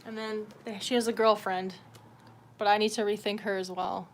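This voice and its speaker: A woman's voice: medium pitch, little pitch movement, moderate pace. A woman chatting casually as she hosts a livestream, informal and sweary, with a self-deprecating way of talking. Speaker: livestream host. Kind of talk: casual livestream chat while drawing. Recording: clear background noise and room ambience.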